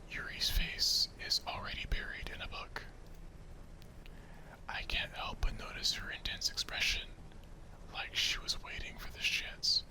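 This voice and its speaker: A man reads visual novel narration as ASMR. He keeps to a breathy whisper and takes his time, with a crisp, bright edge to the sound. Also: poor audio.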